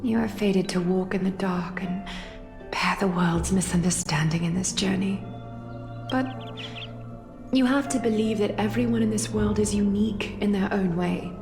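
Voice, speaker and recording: A woman playing a solemn, regal mentor in a game, speaking gravely and in measured phrases. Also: mid-range voice, lightly acted. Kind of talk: scripted game drama acting. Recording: long echoing reverb, music behind. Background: music.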